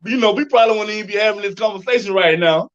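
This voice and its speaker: A slightly muffled, lo-fi recording of an American man with a fairly high voice. He hosts a casual interview, phrasing his questions informally and working them out aloud. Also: racing, a bit bright. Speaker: interview host. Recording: dull lo-fi audio.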